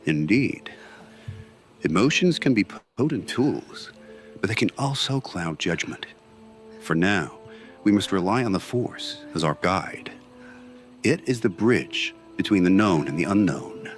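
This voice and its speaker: Man acting deep, solemn Jedi mentor. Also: expressive. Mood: calm, instructive. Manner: measured, instructive, solemn. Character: calm wise older male Jedi mentor teaching a pupil. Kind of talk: fan audio drama acting. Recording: music under the voice.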